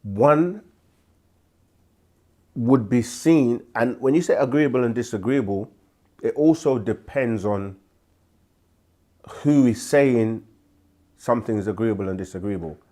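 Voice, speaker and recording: A man hosting a live question-and-answer stream, speaking with a sore throat and a congested voice, deliberate and full of restarts. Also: medium pitch, dark tone. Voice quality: slow, deliberate delivery with frequent restarts. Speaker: host answering viewer questions. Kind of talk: livestream Q&A teaching.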